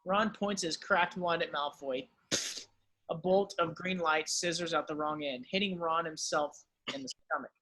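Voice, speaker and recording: A man's voice: fairly high pitch, normal speed, sharp tone, poor audio. A man narrating screenplay directions at an amateur table read.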